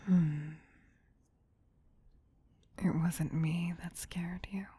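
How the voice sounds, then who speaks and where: A woman playing a possessive, teasing, soothing vampire queen in a whispered ASMR roleplay, breathy, hushed and doting yet domineering. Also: fairly low pitch, steady tone, very slow, heavily acted. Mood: gentle soothing.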